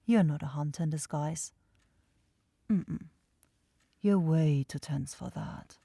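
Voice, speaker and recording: Low, teasing vampire girl, acted by a woman. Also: creaky, warm tone, exaggerated voice. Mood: teasing, confident. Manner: intimate, slow, coaxing, taunting. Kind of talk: ASMR roleplay acting. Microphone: close microphone, dry studio sound.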